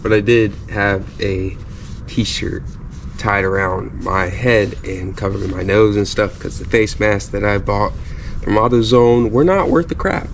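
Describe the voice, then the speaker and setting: A man talks his way through a DIY wheel job in a relaxed, chatty, self-deprecating manner. His nose sounds stuffy from a cold. Faint noise sits behind him outdoors. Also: lowish voice, unhurried. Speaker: car DIY vlogger. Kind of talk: casual how-to narration. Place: outdoors while working on wheels.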